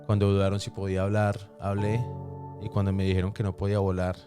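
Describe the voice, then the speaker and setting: A man giving a personal testimony in conversation, speaking reflectively with halting pauses. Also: low voice, completely flat delivery. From Colombia, raised in New York from age four. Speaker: podcast guest. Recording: roomy echo, faint music behind.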